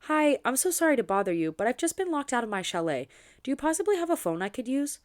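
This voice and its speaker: A woman's voice: high voice, sing-song, fast. A woman voicing a friendly, independent young heroine in a romance audiobook, polite and chatty. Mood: polite, apologetic.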